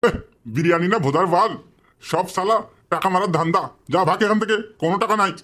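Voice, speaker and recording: A man's voice: high-pitched, fast talker, fairly bright tone. A man voicing a grumpy, stingy, foul-mouthed father in a cartoon comedy. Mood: irritated. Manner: gruff, threatening, swearing.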